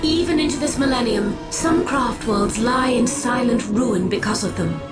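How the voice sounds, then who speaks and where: Woman doing a grave alien prophetess leading an army, highish voice, formal and theatrical, game cutscene w/ music under it. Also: normal speed, lightly acted. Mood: grave, defiant. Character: solemn, commanding female alien Eldar seer and war leader. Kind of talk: dramatic video game voice acting.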